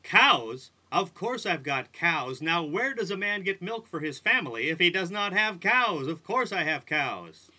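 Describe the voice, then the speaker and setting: A performer playing a weary man driven mad by the noise in his house, pleading and exasperated, with long drawn-out cries in a told folktale. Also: medium pitch, big pitch swings, pushed and bright, exaggerated voice. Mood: complaining. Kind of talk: acted folktale storytelling.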